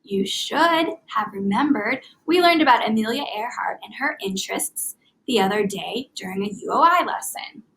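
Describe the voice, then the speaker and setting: A woman teaching young children in an expressive, carefully enunciated read-aloud style, speaking as an elementary reading teacher. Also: a little high, normal speed, creaky, low-quality recording.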